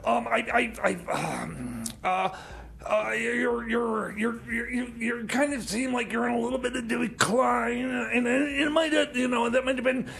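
Man acting as nagging male sidekick mechanic, high gravelly voice, halting, stammering, comedy radio play, noisy recording. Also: a bit bright, heavily acted. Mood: awkwardly critical. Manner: halting, stammering, repeats words. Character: nagging, hesitant male time-machine mechanic sidekick Jeb. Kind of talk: bantering comedy radio theater.

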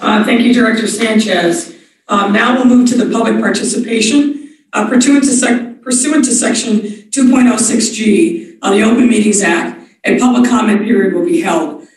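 A woman's voice: mid-range voice, steady tone, moderate pace. Woman chairing a meeting, echoey and distant. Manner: procedural, with frequent fillers. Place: large wood-panelled office. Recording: echoey room from far off.

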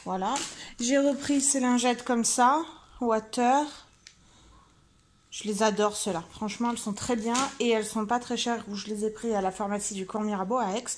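Higher-voiced Frenchwoman vlogging over background noise. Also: fairly even intonation, normal speed. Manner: chatty, informal, thinking aloud. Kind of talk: casual vlog chat, showing purchases. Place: car interior, later a room at home.

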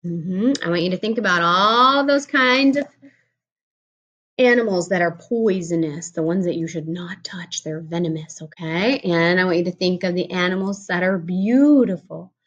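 A woman's voice: lowish voice, normal speed, a bit bright, muffled recording. A woman in her forties, a speech teacher, reading a picture book aloud to a young child in a home kitchen and asking him questions in a patient, teacherly way, picked up by a webcam across the table. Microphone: webcam at table distance.

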